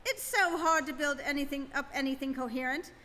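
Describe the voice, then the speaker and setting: A man's voice: very high-pitched, brisk, pushed and bright. An actor playing a bewildered, hapless Earthman, plaintive and apologetic, in a live radio play. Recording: noisy reverberant hall live stream.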